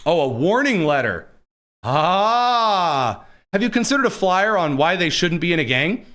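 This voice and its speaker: A man from Indiana hosting a political commentary show, mocking and sarcastic, with quick asides. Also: high-pitched, very animated, pushed and bright. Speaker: host.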